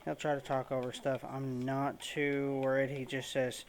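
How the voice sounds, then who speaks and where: A man's voice: medium pitch, monotone, normal speed, a little hiss or hum. A man giving casual, rambling let's play commentary as he hosts a gaming video, informal in his wording. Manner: rambling, informal, mild swearing.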